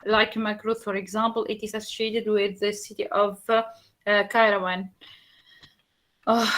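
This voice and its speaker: A woman's voice: a little high, fairly bright tone. A woman from Tunisia with a Tunisian accent, a native Arabic speaker, giving a slide presentation in a hesitant way, with many fillers and restarts.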